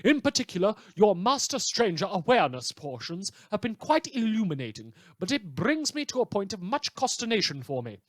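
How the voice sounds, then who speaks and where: A man's voice: high voice, big pitch swings, brisk, few pauses, dry recording, voice pushed up. A man voicing a haughty, aggrieved supervillain reading out an indignant letter in florid, formal, precise diction. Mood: haughty, concerned.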